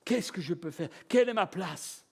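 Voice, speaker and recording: High-voiced preacher rousing a congregation. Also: male voice, moderate pace, vocal fry, husky. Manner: lively, rhetorical questions, chuckling asides to the congregation. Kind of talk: live church sermon. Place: church service before a congregation.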